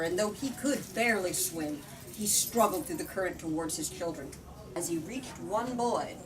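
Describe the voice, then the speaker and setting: Female storyteller and book-talk host, lowish voice, expressive and deliberate, recorded from a distance in a noisy room. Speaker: host of a book reading, reader. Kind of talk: dramatic storybook read-aloud with discussion. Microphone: far from the speaker.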